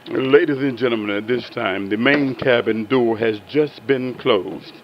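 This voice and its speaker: A man's voice: medium pitch, a little slow. A man making formal, practised in-flight announcements as a flight attendant over a cabin PA. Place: aircraft cabin. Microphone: cabin PA handset. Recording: muffled cabin PA, aircraft noise.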